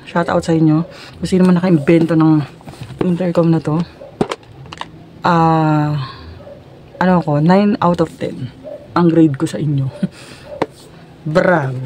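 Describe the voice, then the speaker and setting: A woman's voice: lowish voice, dark tone, slight background noise. A Filipino woman chatting informally to her viewers in a casual unboxing and product demo vlog. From Philippines. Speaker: vlogger.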